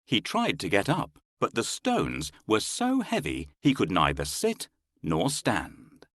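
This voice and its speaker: Very animated, low-voiced male storyteller. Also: slow, no echo, clean studio sound. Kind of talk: scripted children's bedtime story narration.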